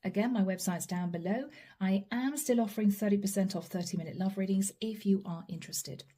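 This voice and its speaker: A woman doing a channeled tarot reading straight to the viewer, earnest and apologetic, her voice going hoarse. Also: mid-range voice, brisk, mellow. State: losing her voice. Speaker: tarot reader.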